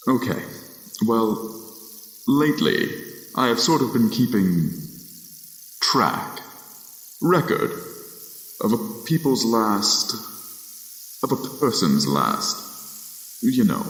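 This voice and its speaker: A man's voice: mid-range voice, a bit bright, full character voice. A young man acting in a radio drama as a polite, businesslike Death figure with a hesitant, understated, formal manner. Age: young adult. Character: polite, unhurried Death-like collector, modest and businesslike. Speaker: student voice actor. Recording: echoey, long reverberant room sound.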